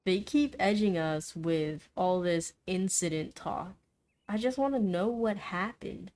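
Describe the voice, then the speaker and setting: A woman's voice: fairly low pitch, unhurried, a little mellow. A woman game streamer giving casual, wry and teasing commentary on a playthrough.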